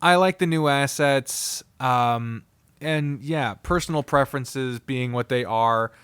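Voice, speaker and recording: A man giving an unscripted, casual review, blunt, profane and opinionated, often halting, digressing and trailing off mid-sentence. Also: medium pitch, a bit bright, clear, resonant tone, no echo. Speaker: visual novel reviewer.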